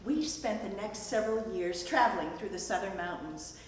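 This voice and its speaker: A woman's voice: medium pitch, expressive, moderate pace, close to an everyday voice. A woman playing an educator's devoted wife, formally recounting their work in a staged play reading. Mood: proud, formal. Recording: echoey hall from far off, hiss.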